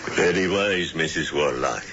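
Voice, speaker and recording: A man's voice: very deep voice, unhurried, a bit bright. An actor playing a suave, solemn doctor who leads a witches' coven in a 1970s radio drama, speaking formally and unctuously. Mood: courteous, smooth. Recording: muffled 1970s radio broadcast.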